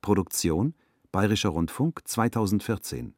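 A man's voice: on the low side, animated, normal speed, no echo, clean studio sound. A man reading scripted broadcast credits as an announcer, formal and matter-of-fact.

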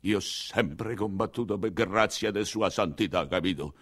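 Doddering, stammering old Roman general. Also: male voice, deep voice, fairly bright tone. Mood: ranting, confused. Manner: halting, stammering, repeating himself. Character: doddering, sorrowful hundred-year-old general, a sentimental father. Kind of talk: theatrical radio monologue acting.

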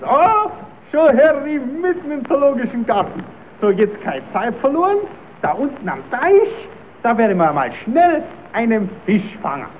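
Casting: a scheming, folksy clown, extremely high voice, children's radio play on narrow vintage sound. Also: male voice, sing-song, unhurried, exaggerated voice. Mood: scheming, agitated. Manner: exclamatory, self-addressing, stammers when flustered. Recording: narrow tinny old radio recording, hissy.

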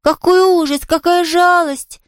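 A high, bright-spirited little girl acted by a woman reading an audiobook. She chatters eagerly and courteously, with artless good cheer. The sound is close and dry. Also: a little fast, dry recording, lightly acted. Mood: sympathetic. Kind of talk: audiobook character voice.

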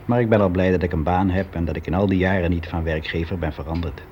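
Low-voiced weary older office clerk played by a man. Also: fairly even intonation, a little mellow, natural voice. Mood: resigned, regretful. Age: 60s. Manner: reflective, halting, confiding, with repeated phrases. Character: weary middle-aged male office clerk, confiding, resigned, educated. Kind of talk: naturalistic radio drama monologue. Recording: dull, noisy radio play recording.

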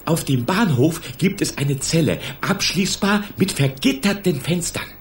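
Slow, stammering, flustered stationmaster. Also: male voice, fairly high pitch, lively intonation, slight background noise. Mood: hesitant. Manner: hesitant, stammering, repeats words. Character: flustered, kindly male stationmaster, eager to join in. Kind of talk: radio play acting.

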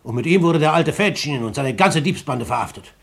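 An actor in a 1950s German radio drama playing a benevolent, firm older English gentleman with a measured, authoritative delivery. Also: a little high, lively intonation, vocal fry, exaggerated voice. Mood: stern, controlled.

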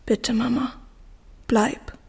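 A young woman playing a fragile, defiant sixteen-year-old daughter in a radio drama, with a breathy edge to her voice. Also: on the high side, little pitch movement, very slow, darkish tone, exaggerated voice. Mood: remorseful, pleading.

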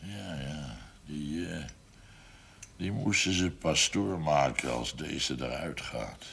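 Very deep, gruff, stubborn older man. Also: a bit bright, full character voice. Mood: muttering, satisfied. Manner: halting, muttering, blunt. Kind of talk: 1970s television drama acting.

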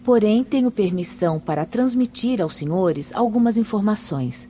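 A woman's voice: mid-range voice, expressive, warm tone, slight background noise, close to an everyday voice. A woman with a Brazilian accent playing a serene, courteous spirit sister in a radio drama, speaking formally. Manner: measured, formal.

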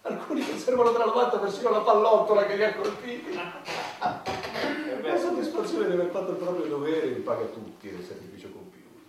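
A man's voice: sits high, big pitch swings, fairly quick, crowd noise. An actor playing a pompous, commanding president who addresses his war cabinet in a declamatory, officious manner, in theatrical satire. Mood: coolly callous. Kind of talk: theatrical satirical stage acting. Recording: echoey room, distant mic, faint noise.

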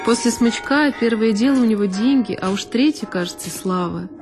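A woman actress giving an expressive, reflective narration in a one-woman audio play. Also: on the high side, moderate pace. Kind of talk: scripted literary narration. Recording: long reverb with violin music. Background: solo violin.

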